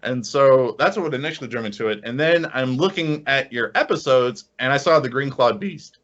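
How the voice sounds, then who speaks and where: A man with a bright, forceful voice chats as a podcast co-host. He is excitable and casual, often tripping over and repeating his words. The recording is a bit lo-fi. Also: medium pitch, normal speed. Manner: excitable, stammering with frequent repeats. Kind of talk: casual enthusiastic chat.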